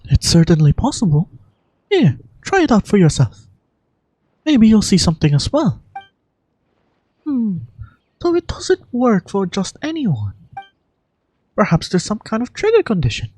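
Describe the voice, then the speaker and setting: A woman voicing an earnest young female guardian in a video game, speaking in a measured, formal, polite way. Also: medium pitch, wildly swooping pitch, dark tone, breathy edge, no echo, heavily acted, rough recording. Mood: thoughtful. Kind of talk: amateur video game voice acting.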